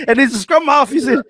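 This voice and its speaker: A young man hosting a casual podcast interview, slangy, animated and quick to laugh. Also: very high voice, no room sound, clean studio sound. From New Zealand. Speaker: podcast host.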